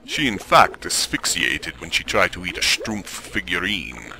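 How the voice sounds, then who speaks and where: An actor playing a sinister, genteel mad doctor with pompous, formal diction in a horror radio parody. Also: male voice, low voice, very animated, unhurried, creaky, bright, forceful tone, slight background noise, exaggerated voice, low-quality recording. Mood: pompous, unruffled.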